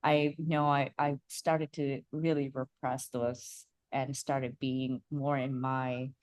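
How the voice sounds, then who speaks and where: A young woman from Bangladesh, a holistic coach, talking about her life in a soft, reflective way with many fillers and restarts. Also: extremely low pitch, little pitch movement, slow. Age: young adult. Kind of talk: casual interview chat.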